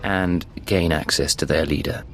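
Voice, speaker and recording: An actor playing a cool, terse Imperial secret agent with a direct manner in a video game. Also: male voice, a bass voice, fairly even intonation, moderate pace, over music. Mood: cool, probing. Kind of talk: scripted video game dialogue.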